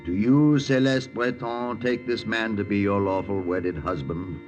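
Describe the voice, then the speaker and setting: An actor playing a solemn army colonel posing as a minister, reciting ceremonially in a 1940s radio drama. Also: male voice, fairly low pitch, steady tone, unhurried, close to an everyday voice. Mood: solemn, formal. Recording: muffled old radio recording, faint music.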